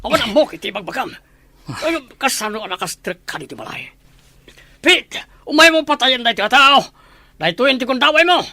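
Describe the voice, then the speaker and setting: A man's voice: very high-pitched, animated, normal speed, sharp tone, no room sound, full character voice. A man with a Filipino accent playing a menacing mansion master in a radio drama. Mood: startled, defiant. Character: menacing gun-owning villain, master of a mansion.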